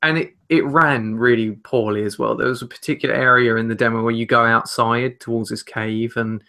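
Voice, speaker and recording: Bright-voiced young man chatting casually. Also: medium pitch, steady tone, fairly quick. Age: young adult. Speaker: podcast co-host. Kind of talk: casual chat about video games. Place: small room at home.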